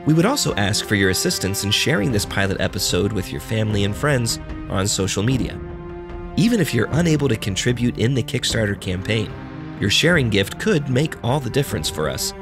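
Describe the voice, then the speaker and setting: A man hosting a podcast, reading a scripted announcement in a polite, measured and earnest manner. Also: fairly low pitch. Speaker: podcast producer, host. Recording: music under the voice.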